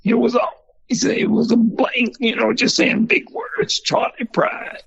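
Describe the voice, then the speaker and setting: An older man with a husky, gravelly voice, telling folksy stories in an interview. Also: very high-pitched, measured pace, no echo, lo-fi sound. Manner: folksy, animated storyteller. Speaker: museum founder and former country singer. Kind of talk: storytelling in an interview.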